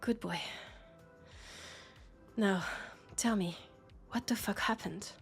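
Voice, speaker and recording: A woman plays a sharp young sci-fi policewoman with a French accent, scolding and caring at once. She speaks slowly and deliberately, probing with exasperation, over faint music. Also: medium pitch, gravelly. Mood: urgent, commanding. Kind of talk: intimate scripted roleplay audio drama.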